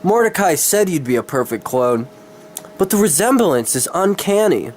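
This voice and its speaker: Guy doing a smug dark necromancer in a fantasy story reading, raised pitch, theatrical, faint noise behind. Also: big pitch swings, normal speed. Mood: grandly amused.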